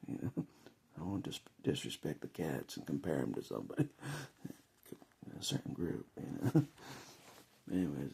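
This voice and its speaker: Deep, very husky, gravelly American man. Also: dark tone. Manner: chuckles often, jokey, conversational. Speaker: vlogger giving political opinion. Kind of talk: casual rambling monologue to camera.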